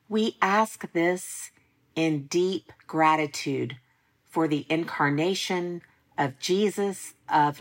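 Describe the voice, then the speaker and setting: A woman leading a prayer in a solemn, measured reading style with deliberate phrasing. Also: deep voice, creaky. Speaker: prayer leader.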